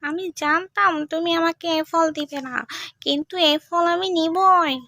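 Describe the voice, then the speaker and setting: A woman's voice: very high voice, fairly even intonation, a little slow, sharp tone, no echo, clean studio sound, heavily acted. A woman voicing a greedy, sly young village boy in a cartoon story, nosy and insistent. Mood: demanding.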